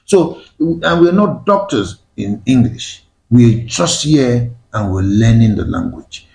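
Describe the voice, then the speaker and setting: Male panel host, native Igbo speaker, emphatic and animated, lively pitch, recorded in an echoey room. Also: slow pace, vocal fry. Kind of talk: panel discussion.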